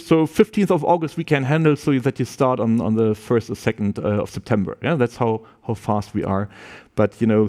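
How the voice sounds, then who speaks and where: A man's voice: mid-range voice, darkish tone. Man giving a chatty open-day talk. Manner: loose and chatty, many restarts and "yeah?" tags. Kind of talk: informal speech at an open day.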